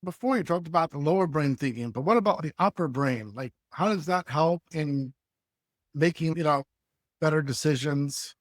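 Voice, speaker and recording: Guy hosting a podcast, asks quick questions, slightly high voice, dry sound. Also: no echo. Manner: asks short questions with "like" and "you know". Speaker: podcast host. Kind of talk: interview questions.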